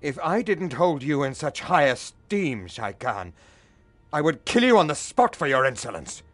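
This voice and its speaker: A proud old patriarch, with a fairly high male voice and very animated, emphatic delivery. He has the fiery dignity of a fantasy game lord, over faint music. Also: normal speed, no room sound. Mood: indignant. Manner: formal, emphatic. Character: proud, hot-tempered old father and clan leader.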